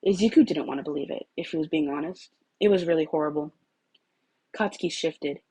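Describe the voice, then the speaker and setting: A woman narrating a story expressively in a husky voice. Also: sits low, fairly quick, warm tone. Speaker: fan fiction reader.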